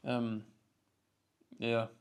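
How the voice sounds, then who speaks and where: A young man with a Danish accent talks to the camera in a loose, rambling way. His pitch sits in a plain middle range. He swears casually and fills his sentences with "like" and "bro". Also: completely flat delivery, drawn-out words, smooth. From Denmark. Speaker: vlogger. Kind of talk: casual vlog monologue.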